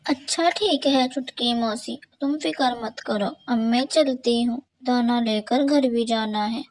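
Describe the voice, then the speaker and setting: A woman voicing a kind young female sparrow who talks in a lively, concerned way. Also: fairly high pitch, unhurried. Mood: agreeable.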